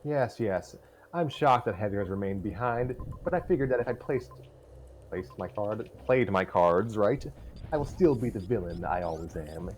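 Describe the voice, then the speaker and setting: A man voicing a smug, scheming male villain with deliberate delivery in a live story reading. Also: fairly low pitch, animated, darkish tone, a little hiss or hum, lightly acted.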